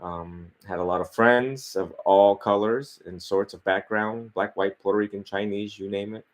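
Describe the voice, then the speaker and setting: Low-voiced calm male monk in his thirties. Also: normal speed. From Vietnam. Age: 30s. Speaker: Buddhist monk and podcast guest. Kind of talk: casual interview, life story.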